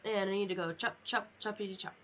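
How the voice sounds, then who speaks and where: Casting: female game streamer, mid pitch, casual thinking-aloud mutter, muffled lo-fi recording with noise behind. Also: steady tone, quick pace, fairly bright tone. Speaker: livestreamer. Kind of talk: casual chat while playing a video game. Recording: dull lo-fi mic, clear background noise.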